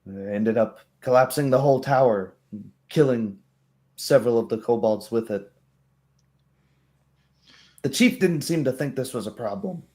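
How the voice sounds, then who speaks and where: A man voicing a wary, weary female kobold in a tabletop roleplay, speaking haltingly with pondering hums. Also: mid-range voice, lively intonation, darkish tone, natural voice.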